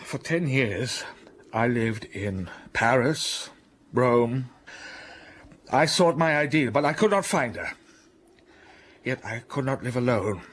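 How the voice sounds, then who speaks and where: A brooding gentleman in a radio drama, slow, measured, passionate bursts, mid-pitched voice. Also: animated. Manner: slow, brooding storytelling with sudden rises of passion.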